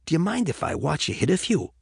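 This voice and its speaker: A man's voice: fairly high pitch, huge pitch swings, quick pace, gravelly, husky, no echo, clean studio sound, voice pushed up, exaggerated voice. A man voicing an eccentric, blunt and breezy golfer in his late fifties, with an encouraging streak, for an audiobook. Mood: earnest, encouraging. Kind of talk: audiobook character dialogue.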